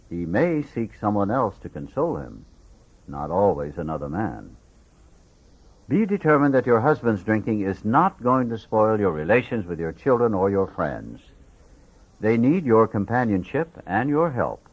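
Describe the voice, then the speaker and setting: A man with a fairly low voice narrates an audiobook in a scripted read-aloud style. His pitch moves widely, giving the reading a lively, expressive contour. The recording is slightly dull and lo-fi. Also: moderate pace. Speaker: audiobook reader.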